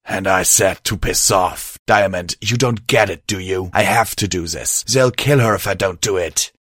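A man's voice: sits low, normal speed, a bit bright, dry recording, studio quality. A man voicing a stubborn, desperate male unicorn pony, curt and confrontational, in a fantasy story. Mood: bitter.

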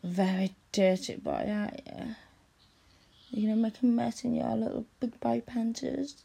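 A woman in intimate audio roleplay, speaking softly and coaxingly and often dropping into a whisper. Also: a little high, creaky, husky. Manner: soft, slow and coaxing, often drops to a whisper.

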